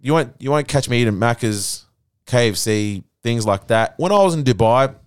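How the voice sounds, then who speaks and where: Animated man chatting. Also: medium pitch, normal speed, clean tone, dry recording, clean studio sound. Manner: animated storytelling, lots of "you know". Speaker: podcast host. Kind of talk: casual chat.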